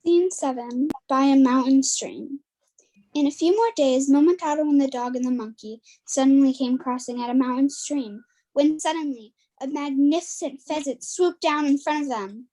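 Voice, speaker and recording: High, mellow-voiced woman narrator. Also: moderate pace, low-quality recording. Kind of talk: scripted folktale narration.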